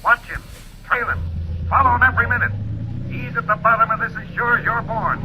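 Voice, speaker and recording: An actor playing a hurried young tipster reporter calling his chief, speaking in a clipped way in 1940s radio acting. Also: very high-pitched, flat delivery. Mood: urgent. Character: urgent young male tipster reporter on the phone. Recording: old radio broadcast, light hiss.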